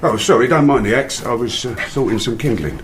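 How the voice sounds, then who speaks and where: A man's voice: mid-range voice, fairly quick, slight background noise, natural voice. An actor in a radio drama playing an odd, grumbling old man next door, apologetic and rambling. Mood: apologetic, gloomy. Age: older. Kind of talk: naturalistic radio drama acting.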